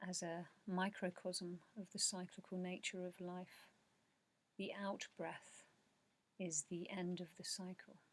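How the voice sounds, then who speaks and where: Low, even-voiced woman guiding meditation. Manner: slow, soothing, measured, with long pauses. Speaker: meditation guide. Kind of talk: guided meditation narration, yoga nidra.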